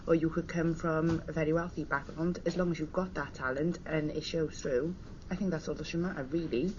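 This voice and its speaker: A woman's voice: low-pitched, fairly even intonation, brisk, darkish tone. A woman with a broad Cardiff accent speaking plainly as a guest on a radio discussion. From Cardiff, Wales. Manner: plain-spoken and direct. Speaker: studio guest. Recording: dull broadcast audio with faint hiss.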